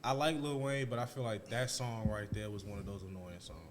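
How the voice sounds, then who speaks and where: A man's voice: on the low side, flat delivery, a little fast, a little hiss or hum. A man co-hosting a casual podcast chat, blunt and opinionated. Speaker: podcast co-host.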